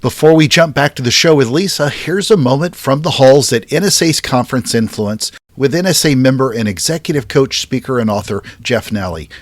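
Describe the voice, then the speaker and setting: A man's voice: medium pitch, expressive, fairly quick, hardly any pauses, no room sound. Upbeat man hosting a podcast. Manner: upbeat, emphatic, list-like summarizing. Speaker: podcast host. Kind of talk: podcast interview and recap.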